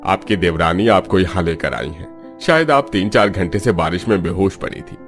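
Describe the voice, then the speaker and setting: Very low male voice, man acting a calm doctor, reassuring, cartoon dialogue, music underneath. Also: moderate pace.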